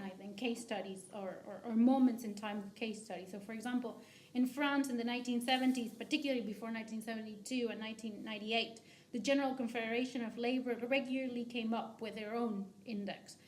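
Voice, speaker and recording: A woman from Argentina giving academic commentary as a discussant, halting often with restarts and fillers. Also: a little high, steady tone, reverberant, slight background noise. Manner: hesitant, many restarts and fillers. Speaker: discussant at a book talk.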